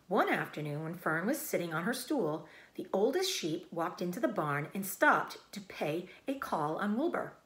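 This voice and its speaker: A woman reading a children's storybook aloud as a teacher, in expressive narration. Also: fairly low pitch, normal speed, hardly any pauses, low-quality recording.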